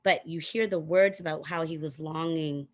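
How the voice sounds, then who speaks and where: A woman's voice: low voice, steady tone, normal speed. A woman talking casually as a preschool teacher on a video call, with plenty of "you know" and "like.". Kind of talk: casual conversational anecdote.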